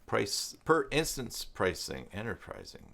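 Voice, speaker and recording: A middle-aged man with a fairly low voice hosts a live stream in a casual, chatty way. He rambles and thinks aloud, moving between reading things out and talking directly to his viewers. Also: huge pitch swings, measured pace, vocal fry, sharp tone. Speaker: live stream host, self-employed web tutor.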